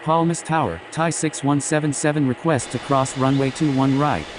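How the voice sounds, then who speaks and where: A man's voice: fairly even intonation, moderate pace. A man speaking as an airline pilot in scripted air traffic phraseology. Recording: loud noisy rumble and hiss.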